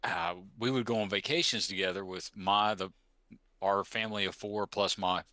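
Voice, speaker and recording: A man's voice: lowish voice, steady tone, normal speed, sharp tone, no echo. A middle-aged man, a podcast co-host, chatting casually and rambling through personal reminiscences.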